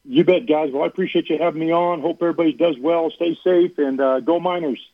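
A man's voice: fairly high pitch, steady tone, fast talker, no echo. A man guest on a sports radio show, giving earnest answers and repeating words for emphasis. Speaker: athletic director guest. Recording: phone line.

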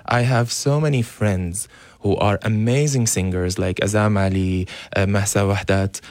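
A young man from Syria, a radio guest speaking earnestly and haltingly with many fillers. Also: on the low side, steady tone, darkish tone, no echo. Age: young adult. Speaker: guest, dance teacher. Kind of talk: radio interview. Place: radio studio.

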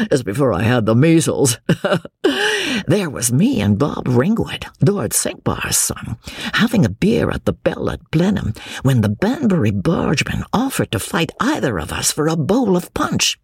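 A narrator performs a jovial young English gentleman deep in his cups in a storybook reading. He brags and rambles in slang, amused by himself, with wildly swinging pitch and a very husky tone. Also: wildly swooping pitch, a little slow, dry recording, heavily acted. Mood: tipsy, excited.

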